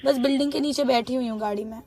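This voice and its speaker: A young woman speaks very quickly in a high voice on a recorded phone call. She sounds agitated and pleading, pressing her case insistently in informal speech. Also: steady tone, a little mellow. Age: young adult.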